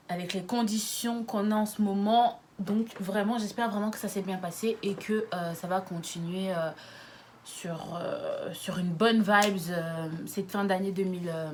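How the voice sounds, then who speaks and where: A young woman in her twenties talks straight to her camera in a loose, chatty vlog style. She giggles often and fills her pauses with hesitant fillers. Her pitch sits in an ordinary middle range, with a faint hiss of room noise behind her. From Lyon area, France. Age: 20s. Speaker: YouTuber. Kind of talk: casual vlog chat.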